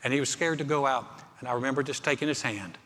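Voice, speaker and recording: Bright, forceful preacher in a reverberant hall. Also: male voice, medium pitch, fast talker. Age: middle-aged. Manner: conversational, emphatic, repeats phrases for effect. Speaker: pastor. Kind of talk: sermon with personal anecdotes. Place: large church auditorium.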